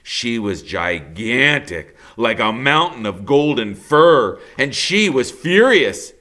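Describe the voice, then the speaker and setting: A man reading a children's novel aloud in a theatrical, emphatic storytelling style, with dramatic pauses. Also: medium pitch, very animated, bright, forceful tone.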